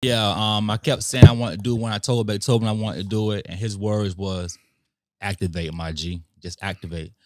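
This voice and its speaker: A man hosting a podcast, relaxed and slangy as he introduces his guest. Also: lowish voice, brisk, dry recording. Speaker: podcast host. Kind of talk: casual interview chat.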